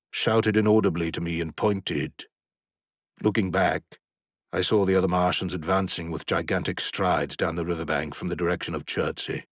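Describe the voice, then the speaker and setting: Deep husky male audiobook narrator. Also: no echo, studio quality. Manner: intense, rising to urgent peaks in action.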